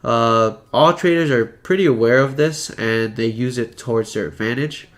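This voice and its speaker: A man's voice: mid-range voice, moderate pace. Stuffy-nosed man explaining trading charts. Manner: casual, many "uh" fillers, talks while pointing at a chart. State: sick, a little stuffy. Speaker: trading channel presenter. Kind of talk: screen-share chart tutorial.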